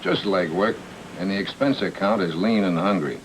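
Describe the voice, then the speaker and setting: An actor playing a hard-boiled, wisecracking private detective in an old film noir drama, terse and dry. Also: male voice, low voice, normal speed, rough recording. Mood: deadpan probing. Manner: terse, dry, deadpan delivery. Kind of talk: 1940s film noir radio acting. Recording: old film soundtrack with faint noise.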